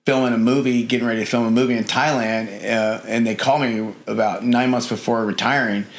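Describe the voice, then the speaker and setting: A man's voice: medium pitch, fairly even intonation, fairly quick. A middle-aged man talking as a podcast guest in casual interview chat, rambling with lots of fillers and "you know".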